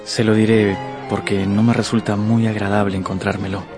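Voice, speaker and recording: A man's voice: fairly low pitch, level tone, a little fast, music in the background, natural voice. A man playing a charming young officer, courteous and aggrieved, in a radio drama. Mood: aggrieved, confiding.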